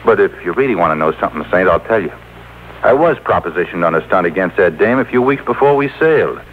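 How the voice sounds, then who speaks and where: A tinny old radio broadcast with faint noise carries a tough, slangy small-time crook. He is blunt and streetwise, with a low voice that bounces around in pitch. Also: lively intonation, fast talker. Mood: grudging, confiding. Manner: colloquial underworld slang, streetwise. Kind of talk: old-time radio crime drama acting.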